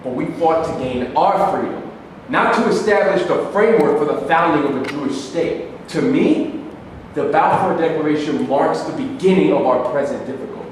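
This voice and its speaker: A man's voice: on the high side, animated, moderate pace, lightly acted. A man playing an indignant, accusing dispossessed Palestinian in a declamatory staged reading of a play. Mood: indignant, argumentative. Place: reverberant indoor hall, staged reading. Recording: echoey hall from far off, hissy.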